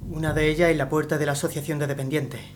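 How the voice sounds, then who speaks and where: Casting: actress as protective Spanish sibling, lower female voice, audio drama, clear noise behind. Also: steady tone, quick pace, close to an everyday voice. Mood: grave. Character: protective, supportive sibling breaking grave news.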